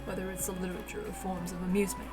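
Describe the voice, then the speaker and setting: A woman's voice: lowish voice, completely flat delivery, racing, over music, pitched below the performer's own voice, natural voice. A woman voicing a composed, stern man who speaks as a seasoned expert in a story reading. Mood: calm.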